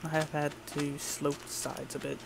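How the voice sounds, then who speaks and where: A man's voice: a little high, level tone, normal speed, vocal fry, background noise. A man giving casual gameplay commentary in an offhand way, muttering to himself as he records an audio log. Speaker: gamer recording audio logs.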